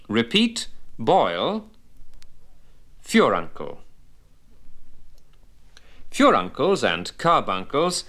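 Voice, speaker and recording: A man delivers a scripted lesson in the role of a language instructor. His pace is very slow and deliberate, and his pitch moves in a lively way from phrase to phrase. He enunciates didactically, setting each term apart for the learner. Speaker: medical English instructor. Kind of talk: scripted vocabulary lesson narration with repeat-after-me prompts.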